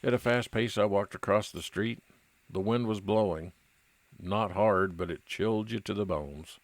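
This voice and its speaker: Noir radio lead: wry, weary male detective, played by a man, low voice, dry close sound. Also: normal speed, dry recording, lightly acted. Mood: reflective, wry. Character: world-weary, wry male private investigator narrating his case. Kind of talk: old-time radio noir narration and dialogue.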